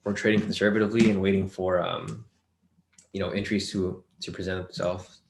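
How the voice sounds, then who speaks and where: Deep voiced guy running a live trading webinar, voice kinda worn out, echoey room. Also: level tone, fairly quick, creaky, slight background noise. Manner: loose, filler-heavy live commentary, reading chat questions. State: losing his voice. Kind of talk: live screen-share trading lesson.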